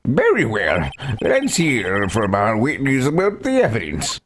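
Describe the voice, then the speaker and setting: A man's voice: medium pitch, huge pitch swings, a little slow, slight background noise, pitched above the performer's own voice. A man voicing a stern, fussy judge in a video game, formal but stumbling over words. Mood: grave, deliberate. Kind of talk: voiced video game playthrough. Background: video game music.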